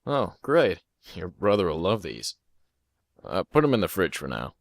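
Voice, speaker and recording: A man's voice: deep voice, wildly swooping pitch, normal speed, vocal fry, no room sound, studio quality, full character voice. A man playing a middle-aged, controlling father who sounds kindly, in an anime fan drama. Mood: genial.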